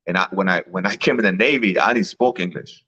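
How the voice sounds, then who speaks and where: A man's voice: sits low, fast talker, bright, forceful tone, slightly rough, dull audio. A man who grew up in Mexico, chatting casually and telling stories, often repeating words and laughing. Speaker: guest. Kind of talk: casual chat.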